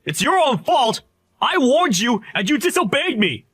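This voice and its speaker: A man putting on a shrill sneering bully boss. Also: wildly swooping pitch, normal speed, no room sound, studio quality, exaggerated voice. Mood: furious. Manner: yelling insults. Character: arrogant young male boss, loud, contemptuous bully. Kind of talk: voiced story drama.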